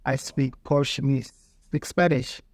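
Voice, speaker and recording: A man's voice: mid-range voice, steady tone, darkish tone, no room sound. Earnest man, dry close sound. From Mozambique. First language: Ndau. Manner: halting, earnest, pauses to search for words. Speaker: guest, farmer and peace builder. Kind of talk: casual interview talk.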